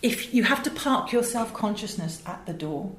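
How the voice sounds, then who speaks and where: A woman's voice: mid-range voice, lively intonation, normal speed, a bit bright. English woman, middle-aged interviewee, emphatic storyteller; echoey room with faint background noise. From England. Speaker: interview guest, voice director and audio producer. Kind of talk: animated anecdotal interview talk.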